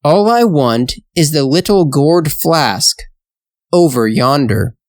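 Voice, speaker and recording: Slow, earnest fairytale youth read by a narrator. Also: medium pitch, expressive, darkish tone, no room sound, studio quality. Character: earnest, modest young male fairytale hero.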